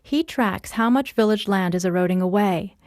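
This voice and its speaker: A woman's voice: mid-range voice, normal speed, a little mellow, no echo, clean studio sound. A woman reading scripted narration for podcasts and radio, as a host and reporter.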